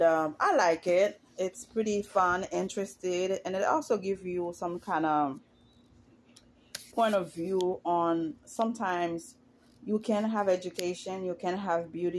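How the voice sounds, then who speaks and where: Woman, lowish voice, casual vlog talk, opinionated, chatty. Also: slight background noise. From Haiti. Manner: chatty, opinionated, rises in pitch when indignant. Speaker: YouTuber commenting on reality TV.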